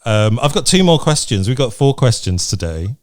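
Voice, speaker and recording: A man's voice: medium pitch, big pitch swings, moderate pace. A man co-hosting a casual advice podcast, chatting candidly and conversationally and reading out listener questions. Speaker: podcast co-host. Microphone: remote recording from separate location.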